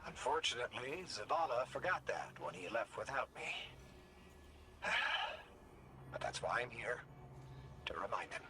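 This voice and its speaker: An actor voicing a devoted, anguished machine companion in a game, with an earnest, emotional, theatrical delivery. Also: male voice, mid-range voice, very animated, unhurried, pauses often, very noisy. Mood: worried, devoted.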